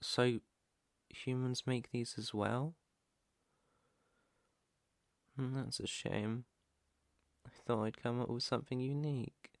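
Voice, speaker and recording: A British man playing an earnest, protective alpha werewolf in an ASMR roleplay, with a hesitant, stammering, soft-hearted way of talking and a creaky voice. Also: mid-range voice, fairly even intonation, a little slow, no room sound, studio quality. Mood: apologetic, devoted. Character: protective young male alpha werewolf, earnest, shy outcast.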